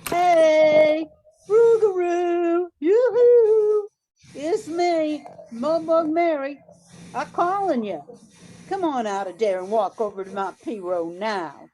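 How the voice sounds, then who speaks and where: A woman's voice: sits high, slow, warm tone, slight background noise, poor audio. A woman playing a feisty, bossy, kind-hearted grandmother in a radio drama, with a folksy, scolding, coaxing way of talking. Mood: hollering. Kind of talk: amateur radio drama acting.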